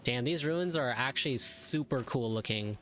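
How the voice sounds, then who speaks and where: A man's voice: mid-range voice, moderate pace, a bit bright. A man giving casual commentary while he plays a game. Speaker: gaming video creator playing and reacting. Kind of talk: casual gameplay commentary with dialogue read aloud. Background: game music.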